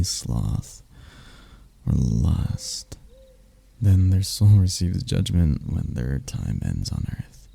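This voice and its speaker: A man playing a suave, arrogant demon prince of lust in an intimate ASMR roleplay, with a gravelly, breathy voice and a smooth, taunting manner. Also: sits low, warm tone, dry recording, exaggerated voice. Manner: smooth-talking, taunting, unhurried, dropping into whispers. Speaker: voice actor.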